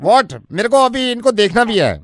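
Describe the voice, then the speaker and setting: A man's voice: very high voice, very animated, moderate pace. A man playing a chatty, teasing clinic doctor on a prank phone call, mixing English into his Hindi. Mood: officious, threatening. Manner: code-switches between Hindi and English.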